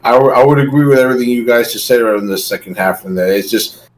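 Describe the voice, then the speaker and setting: A man's voice: mid-range voice, fast talker, rough recording. A man co-hosting a podcast, chatting casually in an agreeable, rambling way and repeating his phrases. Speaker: podcast co-host. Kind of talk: casual review chat.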